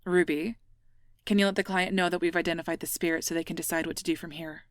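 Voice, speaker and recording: A woman's voice: fairly low pitch, steady tone, fast talker, dry recording, lightly acted. A woman playing the stern, exacting boss of a ghost-hunting team in an audio roleplay, dry and authoritative. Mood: stern, composed. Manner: authoritative, dry, clipped orders.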